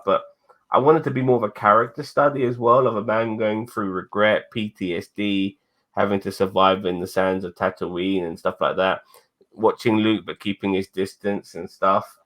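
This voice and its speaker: A man's voice: on the low side, fairly bright tone. A man in his forties, co-hosting a casual chat, rambling and swearing often as he talks. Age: 40s. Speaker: podcast co-host.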